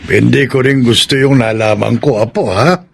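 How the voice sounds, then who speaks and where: An actor playing a calm, protective old grandfather in a radio drama, with a Filipino accent. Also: medium pitch, moderate pace, creaky, a little hiss or hum, close to an everyday voice. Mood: gently admonishing.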